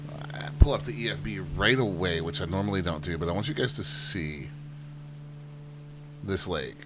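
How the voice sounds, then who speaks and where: A man's voice: low voice, normal speed. A man hosting a flight sim channel, giving easygoing, self-deprecating commentary in a chatty tour-guide way. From United States. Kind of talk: casual gameplay commentary. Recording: steady background hum.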